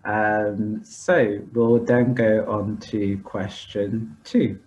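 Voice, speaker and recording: A man interviewing a guest on a video call, polite and measured. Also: fairly low pitch, little pitch movement, darkish tone, lo-fi sound. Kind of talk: interview questions. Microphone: headset on video call.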